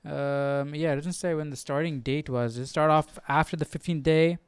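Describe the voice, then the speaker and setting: A man's voice: a little high, fairly even intonation, normal speed, clear, resonant tone, no room sound. A man hosting a Bible study livestream, thinking aloud in an informal, musing way and often repeating himself. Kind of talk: casual chat, thinking aloud.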